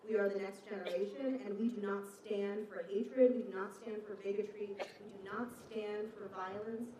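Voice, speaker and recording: A woman's voice: mid-range voice, fairly even intonation, moderate pace. A young woman makes a rousing statement at an outdoor press conference, with phrases that repeat for effect. She sounds distant and echoey, with a crowd audible around her. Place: outdoors on a campus.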